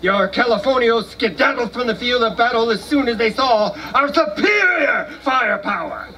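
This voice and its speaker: A man's voice: very high-pitched, animated, moderate pace, bright, forceful tone, exaggerated voice. A man playing a proud, folksy, scornful American soldier in a live historical battle dramatization. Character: proud, folksy American soldier boasting about his army. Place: outdoor stone amphitheater, far camera. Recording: distant outdoor recording with noise.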